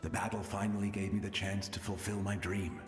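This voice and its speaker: A man playing the gentle, resigned ghost of a selfless warrior in a video game, wistful, with ghostly reverb over music. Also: fairly low pitch, flat delivery, a little fast. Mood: earnest. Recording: long hall reverb under prominent music.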